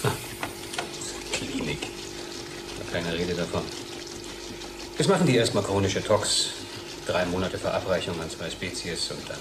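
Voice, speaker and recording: A low-voiced, testy research chemist. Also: male voice, lively intonation, unhurried, pauses often. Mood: dismissive. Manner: dry, argumentative, explanatory. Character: testy, sardonic male company research chemist. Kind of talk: German radio drama acting. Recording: clearly noisy background ambience.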